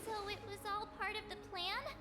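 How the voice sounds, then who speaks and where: A woman's voice: very high-pitched, normal speed, over music. A woman playing an anxious, earnest teenage girl adventurer in a video game. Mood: worried. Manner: earnest, worried, youthful.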